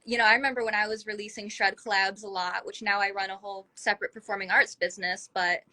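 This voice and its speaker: Bright-voiced, effusive woman hosting. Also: medium pitch, level tone, quick pace. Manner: effusive, encouraging. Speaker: talk show host interviewing a guest. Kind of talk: casual livestream interview chat. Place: livestream video call from a phone.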